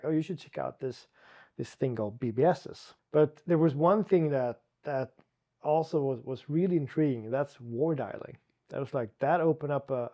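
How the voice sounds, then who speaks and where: A man from Sweden with a Swedish accent, a guest telling his story in a relaxed chat, with frequent restarts and fillers. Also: medium pitch. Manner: talks with many restarts and "you know" fillers.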